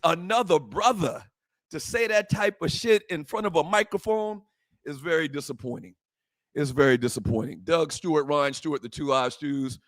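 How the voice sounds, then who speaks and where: A man hosting a sports talk show, fervent and emphatic, repeating phrases and rising to shouts, his voice a little hoarse. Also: on the high side, no echo. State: slightly hoarse, voice worn from shouting. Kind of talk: impassioned sports talk monologue.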